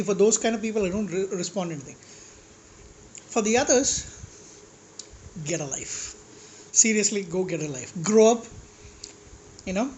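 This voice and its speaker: A man vlogging with a slightly high voice, talking casually and bluntly. He rambles with restarts and asides, and curses when exasperated. A faint hiss sits under his voice. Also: a little mellow, low-quality recording. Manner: blunt, exasperated, swearing at critics. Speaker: food vlogger. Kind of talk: casual rant to camera while eating.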